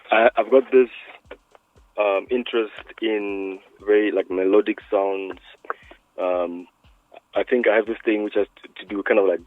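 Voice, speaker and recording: A man who is a music producer, talking by phone in an interview, hesitant and full of "um"s and quick to laugh. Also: on the low side, dry recording. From South Africa. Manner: hesitant, many "um"s, laughs easily. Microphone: phone line. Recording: tinny dry phone line.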